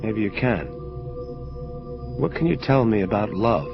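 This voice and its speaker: An actor playing a gruff, streetwise angel detective in an audio drama, blunt and clipped as he probes. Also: lowish voice, animated, unhurried, highs cut off, over music. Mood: probing. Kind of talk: hardboiled audio drama dialogue.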